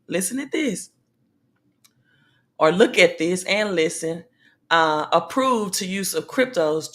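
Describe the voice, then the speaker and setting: A woman hosting a casual livestream about money news, with a slightly raspy voice. She talks in a halting way with many pauses and fillers, and calls out to her viewers directly. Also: on the low side, fairly bright tone. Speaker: livestream host.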